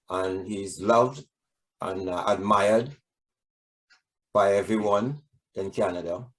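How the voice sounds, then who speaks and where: A man's voice: lowish voice, low-quality recording. A man hosting an interview, asking friendly, rambling questions full of fillers. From Trinidad. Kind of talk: casual interview.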